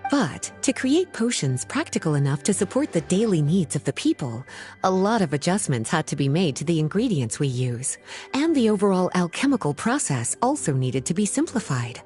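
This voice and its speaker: A scholarly witch librarian for a video game. She is coy and playful, speaking in polished, unhurried phrases that swing expressively in pitch. Soft music plays behind her. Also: sing-song. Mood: explanatory. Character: playful, languid scholarly witch librarian, adult woman, teasing. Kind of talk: video game voice acting, scripted dialogue.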